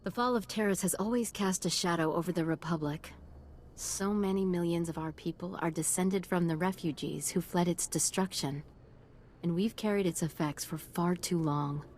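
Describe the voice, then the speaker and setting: Game role, actress: devoted female doctor, solemn and earnest, on the lower side, noisy setting. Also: moderate pace, darkish tone, lightly acted. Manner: grave, formal, earnest. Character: solemn, devoted woman doctor on a lifelong mission. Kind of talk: video game voice acting.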